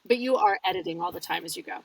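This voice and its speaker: A woman's voice: lowish voice, racing, creaky, no echo, distant mic, rough recording. A woman audiobook narrator, talking casually and explaining her work in an interview, laughing easily. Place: home studio.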